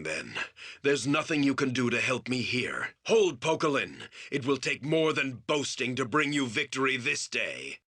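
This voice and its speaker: A solemn, wounded fantasy-game priest of a war god. His low voice is raspy and forceful, halting and emphatic, rising and falling dramatically with strain. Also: heavily acted.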